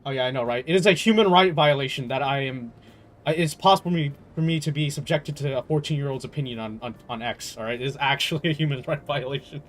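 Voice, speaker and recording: A man's voice: medium pitch, fast, slight background noise. A young adult man streaming a game and chatting casually, rambling and emphatic, swearing freely. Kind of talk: casual live stream chat.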